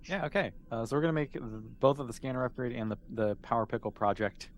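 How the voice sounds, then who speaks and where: A man's voice: mid-range voice, fast talker. A man with a mid-range voice runs a tabletop roleplaying session. He narrates conversationally and with amusement, making it up as he goes. Some noise sits behind his voice. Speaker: game master. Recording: noticeable background noise.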